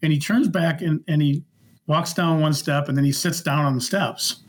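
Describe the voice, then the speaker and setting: A man's voice: fairly high pitch, normal speed. A middle-aged man with an American Midwestern accent, casually telling anecdotes in a rambling, good-humoured way as a podcast guest. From Minnesota, United States. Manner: rambling, good-humoured, acts out reported dialogue. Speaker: podcast guest, former concert security worker.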